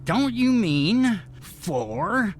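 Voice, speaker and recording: Man as a slow, swooping, smug sardonic schemer. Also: high-pitched, sing-song, a little hiss or hum, full character voice. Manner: drawn-out sarcasm. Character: Zach, smug, scheming, sardonic male socialite. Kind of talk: comic radio drama acting.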